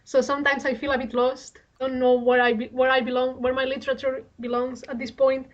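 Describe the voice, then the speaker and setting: A woman's voice: high-pitched, flat delivery, a little fast. A woman from Spain interviewing a writer on a radio show, asking curious questions. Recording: muffled radio studio audio.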